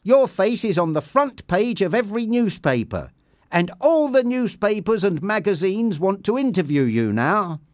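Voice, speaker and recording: A man's voice: sits high, very animated, normal speed, a little mellow, dry recording, studio quality, pitched above the performer's own voice. A man voicing an upbeat band manager with an enthusiastic, emphatic delivery. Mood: happy, excited.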